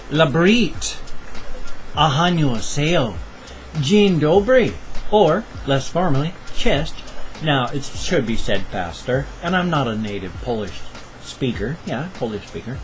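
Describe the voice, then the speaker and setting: A man's voice: mid-range voice, low-quality recording. A man in his fifties rambling through a casual daily vlog at home, with jokey asides, recorded on a webcam. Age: 50s. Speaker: vlogger.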